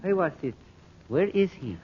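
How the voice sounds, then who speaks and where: Sly, halting North African go-between, old radio. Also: male voice, sits high, lively intonation, breathy edge, exaggerated voice. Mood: guarded. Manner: halting, formal broken English. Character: sly North African native go-between peddling stolen papers. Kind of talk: 1940s radio acting. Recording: dull old radio transcription.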